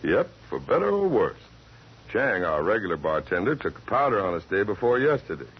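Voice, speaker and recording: An actor playing a friendly, folksy ranch hand tending bar, easygoing and courteous, in 1940s radio acting. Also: male voice, fairly low pitch, big pitch swings, a little fast, slightly rough. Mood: affable. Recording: dull old radio recording with hiss.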